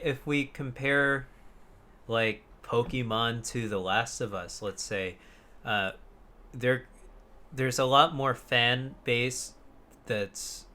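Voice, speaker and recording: Young man, bright voice, rambling and sweary. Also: medium pitch. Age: young adult. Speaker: podcast co-host. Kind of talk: casual chat about video games.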